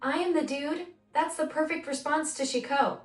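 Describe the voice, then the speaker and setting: An effusive, eager synthetic woman's voice, pitched a little high, gushing with praise in a chatty conversation. She sounds set back from the mic in a roomy space, with faint music underneath. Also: moderate pace, distant mic. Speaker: AI voice assistant co-host. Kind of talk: conversational chat.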